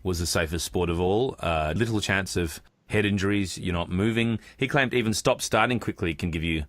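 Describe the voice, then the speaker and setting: A low-pitched Australian man chats as a podcast co-host. His delivery is casual and discursive, explaining as he goes, and the sound is dry and close. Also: moderate pace, no echo.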